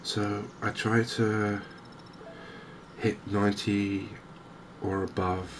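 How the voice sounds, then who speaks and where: A man softly narrating a game in ASMR style, hushed and hesitantly thinking aloud. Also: fairly low pitch, flat delivery, slow pace. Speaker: gaming video host. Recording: noticeable background ambience noise. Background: game ambience.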